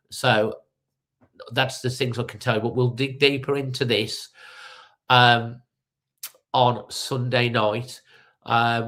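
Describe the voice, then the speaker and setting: A man's voice: mid-range voice, a bit bright. A middle-aged man with a stuffy nose from a cold, hosting a casual fan-channel live update with many ums and restarts.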